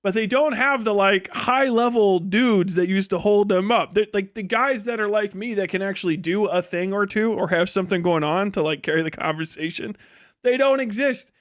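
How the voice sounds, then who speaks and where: A man ranting casually on a livestream as its host, profane and sarcastic, acting out imagined dialogue in an animated way. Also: high voice, normal speed.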